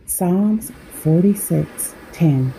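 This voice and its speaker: Low, slow, mellow woman guiding sleep meditation. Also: lively intonation, gravelly, a little hiss or hum, low-quality recording. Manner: unhurried, measured delivery with pauses between phrases. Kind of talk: guided sleep meditation with scripture reading.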